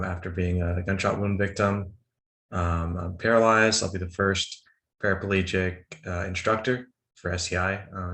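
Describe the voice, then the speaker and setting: Deep-voiced man in a roomy space. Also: fairly bright tone. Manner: plain, friendly, with fillers. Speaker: instructor in training.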